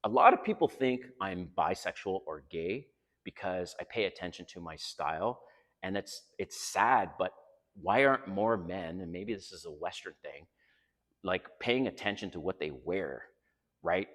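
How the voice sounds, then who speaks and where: A man's voice: low voice, lively intonation, normal speed, fairly bright tone, long reverb tail. An older man from Canada with a Canadian accent, giving blunt, frank dating advice straight to his viewers in a casual monologue.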